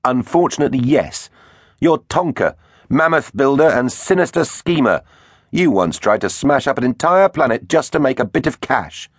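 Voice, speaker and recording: A man's voice: fairly high pitch, big pitch swings, moderate pace, dry recording, studio quality, pitched above the performer's own voice. A man playing a brave, cheeky dinosaur captain who is the young-spirited hero of a children's storybook. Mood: cocky defiance.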